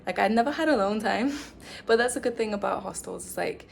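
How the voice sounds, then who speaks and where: A young woman from England with an English accent, chatting casually to camera as a travel vlogger, full of "like" and "um" and quick laughs. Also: medium pitch, gravelly, a little hiss or hum. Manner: chatty, lots of "like" and "um", laughs often. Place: studio flat.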